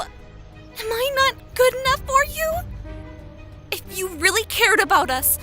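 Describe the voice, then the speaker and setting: A woman playing an emotional, intense anime schoolgirl in an audio drama. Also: very high voice.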